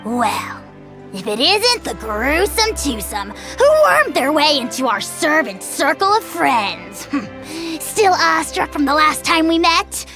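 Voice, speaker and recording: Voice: high-pitched, sing-song, takes it slowly, sharp tone, faint music behind, exaggerated voice. An actor voicing an arrogant little dragon lord in a video game, pompous and grandiloquent. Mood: haughty, mocking.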